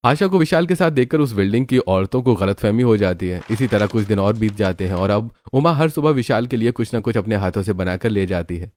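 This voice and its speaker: A man's voice: lowish voice, animated, brisk, few pauses, darkish tone. A man narrating a scripted moral story as a storyteller.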